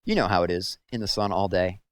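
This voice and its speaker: A man's voice: sits low, fast talker, no room sound, close to an everyday voice. A man voicing a casual, placating teenage boy at a camp in a storybook. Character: teenage camp boy smoothing things over.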